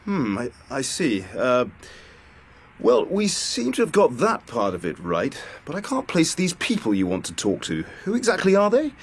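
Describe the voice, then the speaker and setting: An actor playing a polite, evasive British military officer in a radio drama, hesitant and officious. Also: male voice, on the high side, huge pitch swings, normal speed, breathy edge, exaggerated voice. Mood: polite, puzzled.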